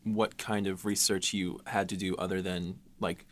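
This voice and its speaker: Deep-voiced man asking casual questions. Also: flat delivery, moderate pace, a bit bright. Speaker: podcast host asking questions. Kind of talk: casual interview chat.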